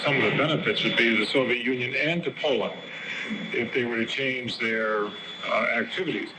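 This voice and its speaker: An American man speaking deliberately and hesitantly as a politician, in recorded remarks to reporters on a noisy tape. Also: medium pitch. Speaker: US senator. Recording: very noisy field news tape.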